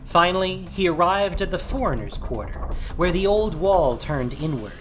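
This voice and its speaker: A man's voice: high voice, expressive, moderate pace, fairly bright tone. A man reading a scripted, dramatic story as its narrator. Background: music.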